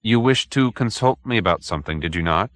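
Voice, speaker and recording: A skeptical private detective, read by a male narrator in an audiobook story. He is businesslike, blunt and probing, with a low voice and expressive, pointed intonation. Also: brisk, no echo.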